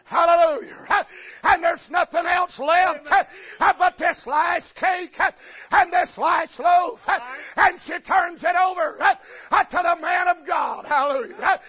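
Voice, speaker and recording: High-pitched preacher shouting his sermon, uh after every line, lo-fi tape with a faint crowd. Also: a little slow. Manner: shouted cadence, an uh after each phrase. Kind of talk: chanted revival sermon. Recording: lo-fi recording with faint congregation. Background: congregation responding.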